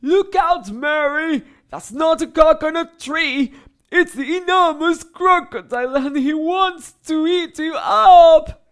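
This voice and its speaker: A woman voicing a big, brave male hippopotamus who shouts a warning, loud and high. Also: slow pace. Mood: alarmed.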